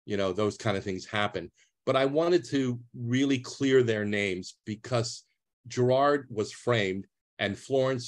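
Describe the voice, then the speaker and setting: A man, giving an earnest, plain-spoken talk over a video call as a retired public defender. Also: on the low side. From US East Coast.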